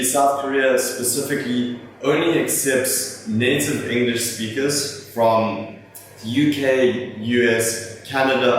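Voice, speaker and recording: A man's voice: on the low side, fairly bright tone. A young man hosting a vlog, casually answering viewer questions and rambling a little, with fillers and restarts. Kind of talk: casual talk to camera. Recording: echoey room from far off, faint noise.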